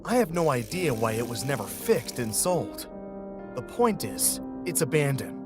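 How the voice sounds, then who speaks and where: A man's voice: medium pitch, very animated, a little slow. A young man narrating a scripted first-person horror story, with music behind him.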